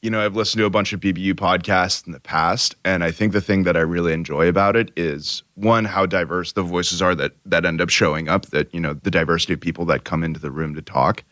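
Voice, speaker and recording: A man's voice: low-pitched, fairly even intonation, fast, few pauses, fairly bright tone, dry recording, clean studio sound. A young man, an MBA student co-hosting a podcast, talking in a loose, rambling way full of "you know" and "like". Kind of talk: casual podcast chat.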